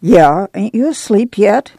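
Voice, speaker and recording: A woman reads a firm, weary country mother in an audiobook. She is brisk and commanding, with a creaky edge and dry, close sound. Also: mid-range voice, expressive, dark tone, no echo. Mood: hushing.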